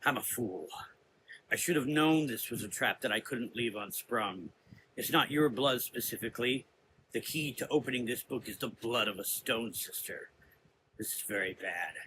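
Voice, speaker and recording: A man's voice: mid-range voice, lively intonation, normal speed, sharp tone, a little hiss or hum, low-quality recording. A man playing a brooding, cryptic adventurer with a grave, formal manner in a gothic horror audio drama. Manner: solemn, cryptic.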